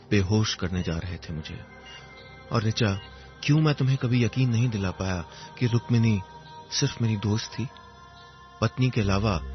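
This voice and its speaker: A man narrating a first-person story in a wistful, reflective manner, with poetic phrasing and pauses. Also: on the low side. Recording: dull lo-fi audio, music underneath. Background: music.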